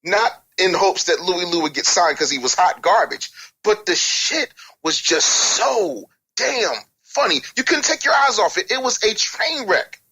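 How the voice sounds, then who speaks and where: Man, somewhat high-pitched, blunt and emphatic, profane storytelling, advice-giving interview guest. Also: lively intonation, measured pace, sharp tone, husky, low-quality recording. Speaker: interview guest, music business mentor.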